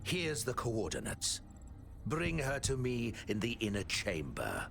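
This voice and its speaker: A man's voice: mid-range voice, wildly swooping pitch, a little slow, gravelly, over music, heavily acted. An actor playing a calculating male villain boss in a video game, coldly authoritative and scheming. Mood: smug command.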